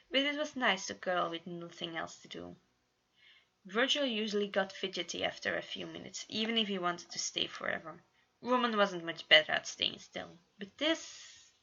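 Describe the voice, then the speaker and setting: Bright-voiced woman reading a story aloud. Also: fairly low pitch, gravelly, lo-fi sound. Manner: expressive, with occasional stumbles over words. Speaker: fan fiction narrator.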